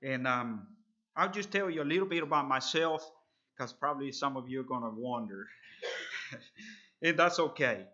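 Earnest preacher, bright voice. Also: male voice, mid-range voice, lively intonation, dull audio. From El Salvador. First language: Spanish. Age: 30s. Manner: halting, earnest, with frequent fillers. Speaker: visiting preacher. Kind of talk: sermon.

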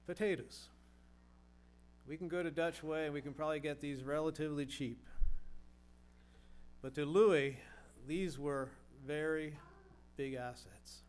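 Earnest middle-aged preacher posing rhetorical questions. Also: male voice, a little high, a little slow, long pauses, a little mellow. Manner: earnest, asks rhetorical questions, repeats words. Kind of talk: sermon.

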